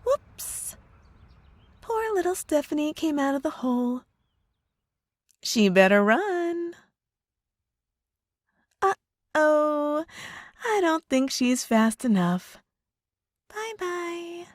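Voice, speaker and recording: A woman playing a possessive young witch girlfriend in an ASMR roleplay, cooing sweetly with drawn-out words and a menacing undertone. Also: sits high, big pitch swings, no echo, clean studio sound, heavily acted. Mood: cooing, gleeful. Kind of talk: ASMR roleplay audio drama.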